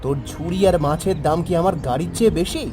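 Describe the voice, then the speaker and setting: A man's voice: a little high, lively intonation, fast, lightly acted. A man playing an arrogant, cruel young son of a village chief in a melodramatic cartoon, with a scornful, bullying manner. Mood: contemptuous anger. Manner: scornful, bullying, shouting down at others. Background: loud music.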